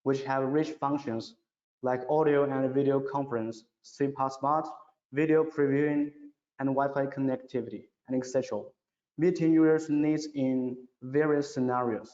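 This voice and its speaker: A man presenting a scripted product webinar in a careful, halting way, now and then repeating words. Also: mid-range voice, fairly even intonation, a little mellow. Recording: dull, echoey, reverberant online webinar audio.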